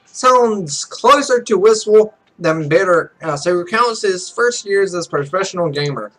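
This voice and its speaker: A man with a high voice reads a visual novel aloud for a gaming video. His delivery is theatrical, and he stumbles over the text and drops in asides of his own. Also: animated, a little slow, a bit bright, rough recording.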